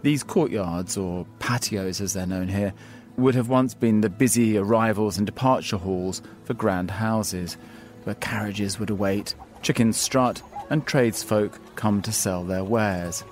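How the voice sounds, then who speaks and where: A man reading his own scripted essay aloud in a measured, wry storytelling manner. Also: fairly low pitch, faint music behind. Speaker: columnist reading his piece. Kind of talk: scripted narration.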